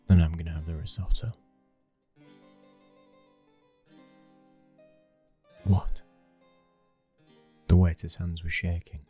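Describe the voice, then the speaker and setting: Very deep, husky British mafia heir, acted by a man. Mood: cool, matter-of-fact. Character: brooding, dominant young mafia boss's son, possessive and menacing. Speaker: ASMR roleplay voice actor. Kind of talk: intimate ASMR boyfriend roleplay acting.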